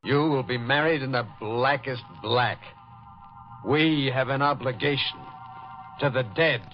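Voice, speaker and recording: An actor playing a sinister, bitter man who torments a woman with theatrical, deliberate taunts in an old-time radio melodrama. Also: mid-range voice, muffled recording, faint music behind, natural voice. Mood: bitter, grim.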